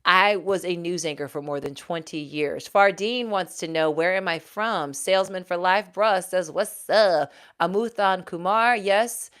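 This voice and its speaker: Woman, 40s, low voice, ex-news anchor, casual livestream host. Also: a little slow, few pauses, a bit bright, clean tone. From Houston, Texas. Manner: chatty, reads out viewer comments, laughs often. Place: home.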